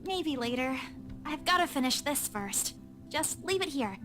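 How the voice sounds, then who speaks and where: A flustered, earnest young woman from a visual novel game. Her voice sits very high and swings around a lot as she stammers and apologises, with music clearly playing behind her. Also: animated, a little slow. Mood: evasive, apologetic.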